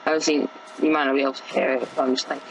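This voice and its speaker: A teenage boy with a sore, croaky throat, rambling casually through gameplay commentary with many fillers and restarts. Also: medium pitch, level tone, racing, a little hiss or hum, rough recording. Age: teens. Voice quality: gravelly.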